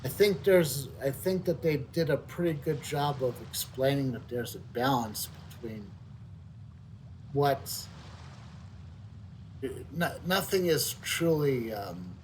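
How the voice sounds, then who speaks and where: A middle-aged man giving casual, unscripted video game commentary, halting and reflective, repeating words as he thinks. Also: medium pitch, animated, slight background noise, rough recording. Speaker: game streamer. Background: soft video game music.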